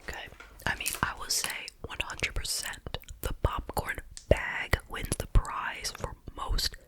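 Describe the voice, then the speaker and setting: A woman speaking in a breathy, unvoiced whisper, chatting and musing through an ASMR food review. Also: takes it slowly. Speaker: host reviewing snacks.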